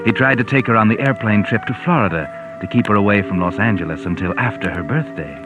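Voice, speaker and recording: A man's voice: on the low side, fast talker, close to an everyday voice, low-quality recording. A man acting as the sly, sardonic, all-knowing narrator of a 1940s American radio mystery, with an American accent. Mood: knowing, mocking. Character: sly, omniscient sardonic narrator of crime tales. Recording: thin band-limited 1940s radio, organ-era music behind.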